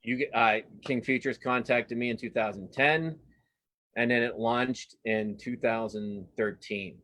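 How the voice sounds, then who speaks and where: A man's voice: medium pitch, steady tone, measured pace, a bit bright. A man chatting casually as an interview guest, informal and self-deprecating. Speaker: guest cartoonist.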